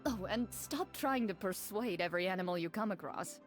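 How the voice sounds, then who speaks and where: A woman's voice: mid-range voice, soft background music, close to an everyday voice. A woman playing a stern, formal duelist. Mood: composed. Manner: calm, measured, formal. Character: composed female champion duelist, stern and formal. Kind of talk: video game dialogue.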